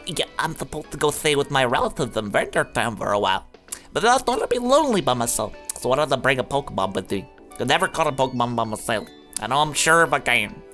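Lady doing a timid little boy, stammery and polite, bright voice. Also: rumbling low, sing-song, quick pace, faint music behind, exaggerated voice. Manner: shy, stammering.

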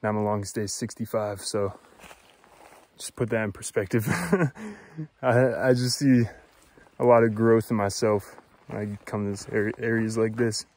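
A young man from Florida, chatting casually to his phone camera while hiking a forest trail, upbeat and filling pauses with "uh". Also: fairly low pitch, darkish tone. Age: young adult. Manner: upbeat, chatty, thinks aloud with fillers. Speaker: hiker vlogger. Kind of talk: casual vlog narration. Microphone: phone at arm's length. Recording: faint outdoor noise.

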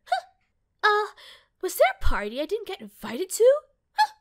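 A woman's voice: very high voice, huge pitch swings, normal speed, voice pushed up, heavily acted. A woman voicing a cocky, peppy young female mech pilot, sassy and punchy, in a fan game audition. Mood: sassy, taunting.

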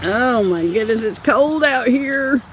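A woman's voice: a little high, expressive, slow, a little hiss or hum. A woman, chatting and giggling affectionately as she films a home video outdoors in a snowy yard on a handheld phone camera. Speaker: vlogger filming her husband. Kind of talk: casual home video narration.

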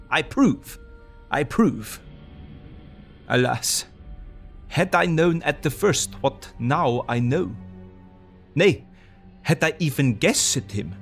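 An actor playing a grave, courteous foreign professor who hunts vampires, speaking formally and solemnly in an audio drama. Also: male voice, medium pitch, gravelly. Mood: solemn, sorrowful. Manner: formal, solemn, quaintly phrased.

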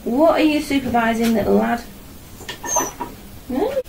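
A woman's voice: fairly high pitch, expressive, unhurried, gravelly, background noise. A middle-aged woman chatting casually to herself and the camera as she works at painting in a small room at home. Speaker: artist and vlogger. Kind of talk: casual narration while painting. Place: small home art studio, indoors.

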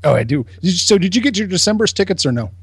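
A man's voice: high-pitched, big pitch swings, racing, breathy edge, no room sound. A man co-hosting a podcast in casual banter, with a blunt, sarcastic and mock-incredulous way of talking. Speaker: podcast co-host.

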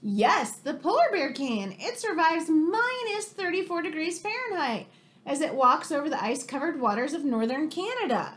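An American woman in her thirties reading a picture book aloud like a teacher to children, expressive and exclamatory, with chatty questioning asides. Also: high voice, normal speed. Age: 30s. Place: room in a home, indoors.

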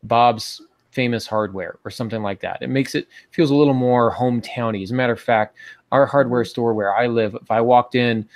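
A man's voice: medium pitch, fairly quick. An American man in his forties hosting a podcast, giving casual instructional talk over a video call. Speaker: podcast host. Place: small home office, indoors. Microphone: earbud headset on video call.

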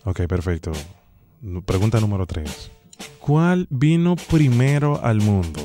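Low-voiced mellow Dominican man, mock-official. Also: very animated, faint music behind. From Dominican Republic. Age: young adult. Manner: mock-formal, deliberate, official-sounding. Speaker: prank call show host. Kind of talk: phone prank, posing as an official. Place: small home studio. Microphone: close studio microphone on boom arm.

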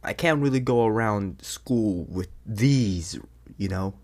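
A young man playing an anxious, bewildered teenage boy turning werewolf in an ASMR audio roleplay, speaking haltingly in a husky, breathy half-whisper. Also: on the low side, darkish tone. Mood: anxious, pleading.

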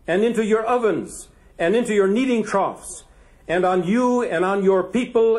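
Man playing solemn prophet, declamatory, stern, somewhat high voice, biblical audio drama.

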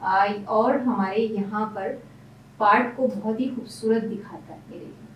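A woman's voice: medium pitch, steady tone, unhurried, slight background noise. Woman hairstylist, echoey far-off room. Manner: explains each step while working. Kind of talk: hairstyling tutorial. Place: salon room.

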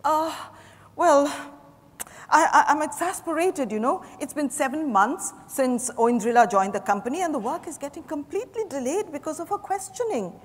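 A woman's voice: high-pitched, animated. Woman acting swooping, flustered older businesswoman. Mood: exasperated. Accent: Indian. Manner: flustered, halting, exclamatory. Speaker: actor. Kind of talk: dramatized stage reading. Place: large hotel ballroom stage, indoors. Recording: echoey hall stage recording.

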